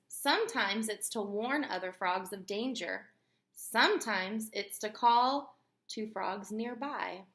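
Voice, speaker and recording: A woman teacher reading aloud to young children in an expressive, instructive way, putting questions to the listener. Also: mid-range voice.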